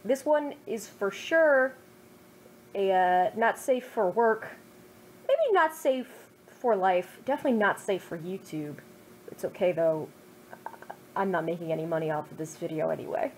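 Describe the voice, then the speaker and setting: A woman's voice: medium pitch, lively intonation, measured pace, a little hiss or hum, low-quality recording. Jokey young woman reading fan fiction. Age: 20s. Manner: self-mocking, jokey, over-the-top. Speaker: streamer reading her own fan fiction. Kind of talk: casual chat then dramatic story reading.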